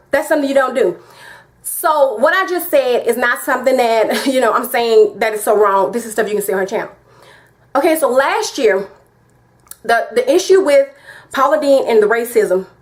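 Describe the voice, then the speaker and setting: A woman with a Southern American accent giving her opinion in a casual vlog, emphatic and repetitive, talking straight to her viewers. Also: a little high. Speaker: vlogger.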